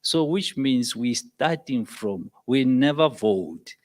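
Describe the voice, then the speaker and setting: This is a South African man speaking up from the floor at a public meeting. English is not his first language, so his phrasing halts in places. He gets emphatic, climbing to loud peaks as he drives his points home. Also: mid-range voice, lively intonation, slow pace. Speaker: audience member. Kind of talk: impassioned public comment.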